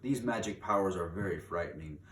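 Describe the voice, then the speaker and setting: A man's voice: deep voice, brisk. A man reading a children's book aloud in a theatrical, emphatic manner, drawing out key words for drama. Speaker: read-aloud storyteller. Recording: distant mic, echoey room, background noise.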